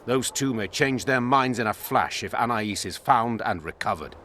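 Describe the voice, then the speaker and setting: An actor playing a stern, dignified royal constable in a fantasy game, speaking formally and with authority. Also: male voice, medium pitch, animated, normal speed, a bit bright, a little hiss or hum, lightly acted. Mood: measured. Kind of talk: video game dialogue acting.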